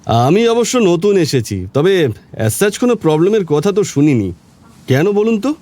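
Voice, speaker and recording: Animated, inquisitive police officer. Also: male voice, sits high, moderate pace. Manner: probing questions, hesitant repeated phrases. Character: inquisitive local police station officer, suspicious but courteous. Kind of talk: Bengali audio drama acting.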